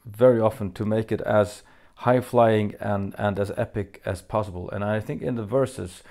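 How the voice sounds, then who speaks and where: Faroese-born man, native Faroese speaker, deep gravelly voice, loose halting fan Q&A. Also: steady tone, mellow. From Faroe Islands. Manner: halting, many fillers and restarts, reads questions aloud. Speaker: musician answering fan questions. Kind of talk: casual Q&A monologue.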